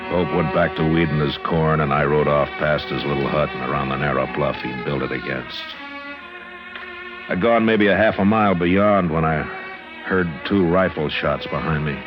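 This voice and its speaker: Weary stern american lawman, super deep voice, terse n dry, muffled old radio western sound. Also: normal speed. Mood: grim, reflective. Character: weary, stern male frontier marshal, narrating his own story. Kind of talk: old-time radio western acting and first-person narration. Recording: dull old radio recording with music.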